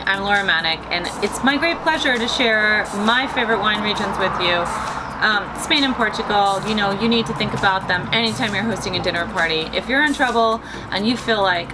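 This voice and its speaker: A woman hosting a weekly wine tasting, describing wines in a chatty, bubbly way with lots of "like" fillers, with music under her voice. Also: medium pitch. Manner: chatty, bubbly, heavy use of "like". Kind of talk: casual wine tasting presentation. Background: background music.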